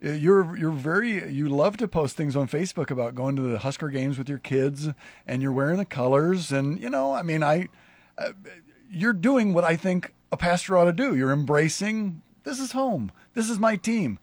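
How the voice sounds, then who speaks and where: A pastor hosting a casual conversation, a man who talks in halting, rambling sentences with many restarts. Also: fairly high pitch, animated, no room sound. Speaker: pastor and show host.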